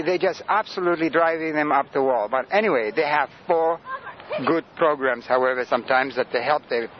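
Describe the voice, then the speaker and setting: A man's voice: a little high, dull audio, a little hiss or hum. Tired, rambling older man from Sudan. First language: Greek and Arabic. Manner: rambling, hesitant, laughs often. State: tired from lack of sleep. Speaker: interviewee. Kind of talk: casual street interview.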